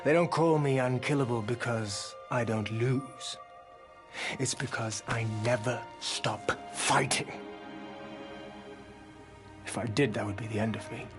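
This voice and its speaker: An actor playing a swaggering, wry mercenary leader in a video game, with a theatrical, boastful manner. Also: male voice, medium pitch, measured pace, pauses often, over music, natural voice. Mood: grim resolve. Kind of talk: video game cutscene acting.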